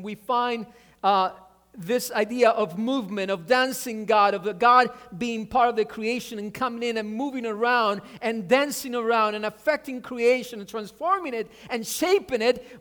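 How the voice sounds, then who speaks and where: A man whose first language is Spanish, preaching a sermon with energy, often restarting words and asking for an amen. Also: very high-pitched. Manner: animated preaching, repeats words, asks amen. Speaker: pastor.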